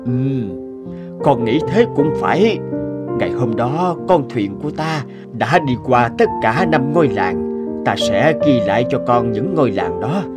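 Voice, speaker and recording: An actor playing a gentle, anxious old adoptive father in a cartoon, speaking tenderly. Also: medium pitch, expressive, measured pace, over loud music, natural voice. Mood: resigned, kind.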